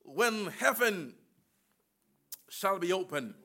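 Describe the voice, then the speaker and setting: A man preaching a sermon with emphatic call-and-response and slow, drawn-out phrases. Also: high voice, animated, bright, forceful tone.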